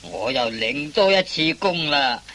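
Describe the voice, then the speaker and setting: A man's voice: fairly high pitch, big pitch swings, measured pace, creaky, bright, forceful tone, full character voice. An actor playing a bossy, smug policeman in a Cantonese radio farce, commanding and mocking. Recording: noisy old radio drama recording.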